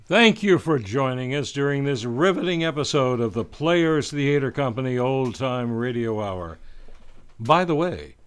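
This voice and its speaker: A man's voice: medium pitch, clean tone. A man hosting an old-time radio hour, reading scripted announcements in a formal, theatrical showman's delivery. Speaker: radio show host and announcer. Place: radio studio.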